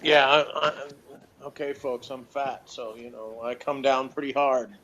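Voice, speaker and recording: A man co-hosting a review show in casual, halting, matter-of-fact chat, recorded on a tablet microphone. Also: mid-range voice, steady tone, dull audio, background noise.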